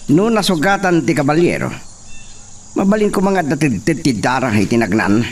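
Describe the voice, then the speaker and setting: A man's voice: fairly high pitch, sing-song, normal speed. A man with an Ilocano accent playing a determined young hacienda master who gives orders, in a radio drama. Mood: commanding. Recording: distant mic, faint noise with insect sounds.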